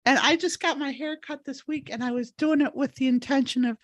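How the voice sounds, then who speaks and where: A woman's voice: sits high, fairly even intonation, brisk, dry recording, dull audio. A woman hosting a live healing session, moving between guided affirmations and informal, encouraging chat. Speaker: host, energy healer leading a live session. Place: live online video call.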